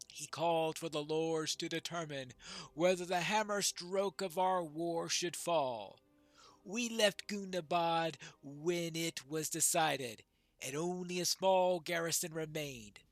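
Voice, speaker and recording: A man's voice: a little high, a bit bright, no room sound. A man voicing a solemn, battle-ready dwarf lord in formal, declamatory fantasy game dialogue. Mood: solemn, reminiscing.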